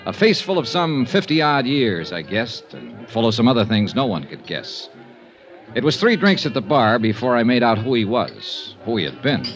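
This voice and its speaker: An actor playing a tough, wry insurance investigator in a 1950s radio drama, with a clipped, hard-boiled delivery. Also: male voice, mid-range voice, very animated, moderate pace. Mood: wistful, reflective. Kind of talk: 1950s radio detective drama, first-person narration. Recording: muffled 1950s radio recording with music.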